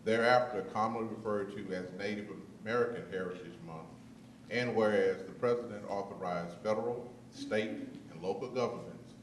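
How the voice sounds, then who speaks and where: A fairly deep-voiced man reads a proclamation steadily, now and then tripping over a word. He sounds distant, in an echoing council chamber with faint background hiss. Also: moderate pace. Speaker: city councilmember. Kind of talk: reading a proclamation aloud. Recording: echoey chamber, mic from far off.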